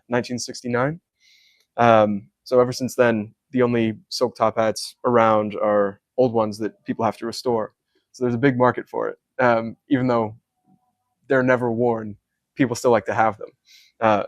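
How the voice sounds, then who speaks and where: A young man from Scotland speaks in a soft, level tone that barely rises or falls. He explains his craft at length, filling pauses with ums. The sound is dry and close. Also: mid-range voice, level tone, no echo. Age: young adult. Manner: soft-spoken, many ums, detailed explanations. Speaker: hat maker. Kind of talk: casual interview.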